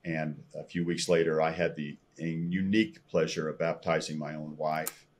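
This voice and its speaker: An older American man around sixty, telling his personal testimony as a podcast guest in an earnest, halting way over a video call from a room at home. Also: rumbling low, level tone. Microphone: video call, computer microphone at desk distance.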